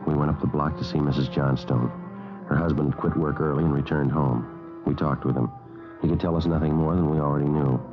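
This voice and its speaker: Very deep-voiced deadpan police sergeant. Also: male voice, fairly quick. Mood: grave, matter-of-fact. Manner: deadpan, matter-of-fact. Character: dry, businesslike male police sergeant narrating his case. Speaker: police detective and narrator. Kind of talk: 1950s radio police drama acting and clipped narration. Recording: dull old radio recording with music.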